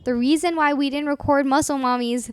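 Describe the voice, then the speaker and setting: High-voiced young woman chatting casually. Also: fairly even intonation, brisk, no room sound. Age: 20s. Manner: rambling, filler-heavy, laughs often. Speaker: podcast co-host. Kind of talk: casual chat.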